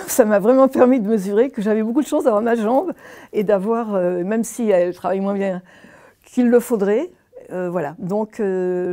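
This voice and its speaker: A woman's voice: mid-range voice, warm tone. A woman speaking casually about her own experience in an interview.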